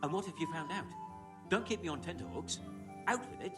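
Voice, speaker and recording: A man acting an eager, plain-spoken master blacksmith in a medieval video game, with brisk old-fashioned turns of phrase. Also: fairly high pitch, animated, far from the mic, over music, lightly acted.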